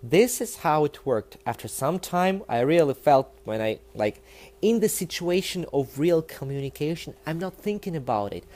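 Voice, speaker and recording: A young man with a Russian accent, a native Russian speaker, talking to the camera in a casual, animated and emphatic way, with many fillers. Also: a little high, moderate pace. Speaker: language learning vlogger.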